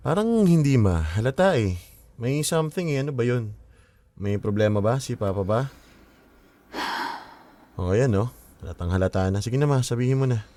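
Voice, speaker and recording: An actor playing a caring young adult son and college student, persistent and coaxing in a radio drama. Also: male voice, medium pitch, expressive, moderate pace, long pauses, dark tone, no echo, lightly acted. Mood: concerned.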